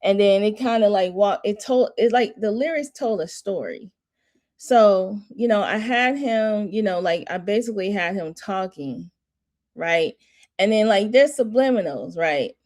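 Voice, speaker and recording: A woman hosting a watch party in casual chat, rambling and restarting, her voice mostly monotone but jumping high at times. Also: a little slow. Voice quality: says her voice runs monotone then jumps high. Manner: rambling, restarting often, laughing between thoughts. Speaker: host of a watch party stream.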